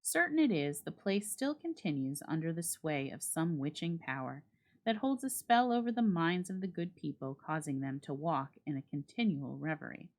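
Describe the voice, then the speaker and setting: A woman reads a literary story aloud as a dramatic narrator, with measured, expressive phrasing. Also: deep voice. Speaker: library staff reader and host.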